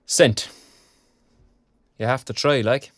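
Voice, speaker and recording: An actor playing a frank, sardonic young Irish graduate in an audio drama, speaking colloquially. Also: male voice, medium pitch, fairly even intonation, slow, natural voice. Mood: reflective, frank. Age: young adult. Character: young underemployed arts graduate, frank and wry. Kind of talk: naturalistic audio drama dialogue.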